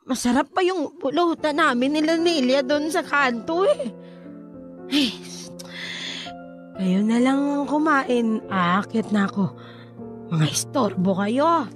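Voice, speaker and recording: A woman's voice: sits high, lively intonation, slow pace, music in the background. A woman acting a drunk, irritable Filipino mother in a radio drama, whining and grumbling in slurred speech. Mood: dismissive, grumbling. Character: drunk, irritable, neglectful mother brushing off her family.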